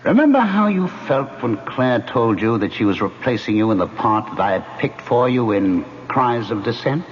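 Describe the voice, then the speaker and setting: Guy doing a smooth mocking ghost, voice swoops way up and down, dull old radio sound w/ faint hiss. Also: medium pitch, very animated, moderate pace, hardly any pauses, natural voice. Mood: taunting. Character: taunting male ghost haunting a man. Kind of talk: 1970s radio drama acting.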